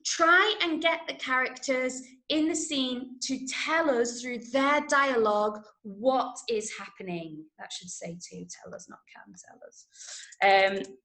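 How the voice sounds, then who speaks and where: Higher-pitched English woman in an echoey room. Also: fairly bright tone. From Manchester, England. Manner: explanatory, checks understanding with questions. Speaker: drama workshop teacher. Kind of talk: teaching a script-writing workshop. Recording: roomy, echoing room sound.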